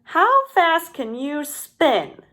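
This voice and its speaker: Woman as a bold, very high-pitched commanding turkey. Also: big pitch swings, very slow, bright, forceful tone, full character voice.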